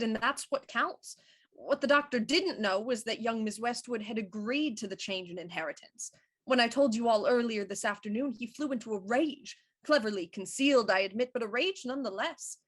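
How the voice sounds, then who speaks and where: A woman playing a shrewd, self-assured Victorian police inspector, explaining deliberately and theatrically in a murder mystery audio drama. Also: on the high side, a bit bright.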